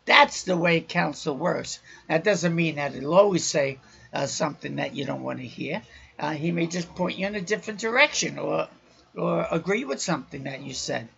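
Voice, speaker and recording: Raspy loud guy preaching on his podcast, gravelly and bright, kinda dull lo-fi sound. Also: fairly high pitch, expressive, normal speed. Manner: loud, raucous delivery. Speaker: podcast host.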